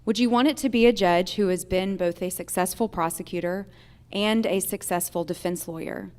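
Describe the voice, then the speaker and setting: A woman with an American accent making a deliberate, rhetorical appeal to voters as a judicial candidate at a forum. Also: lowish voice, a little mellow. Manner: rhetorical, deliberate repeated questions. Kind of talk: political forum closing statement. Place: live in a theater.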